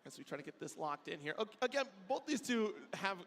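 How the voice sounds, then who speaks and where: A man's voice: high voice, very animated, brisk, a bit bright. A man giving bantering commentary on a fighting game tournament as an esports caster.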